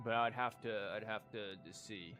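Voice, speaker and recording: A man's voice: lowish voice, steady tone, normal speed, a bit bright, soft background music. A man livestreaming a game with casual, rambling commentary and a sarcastic, wry manner.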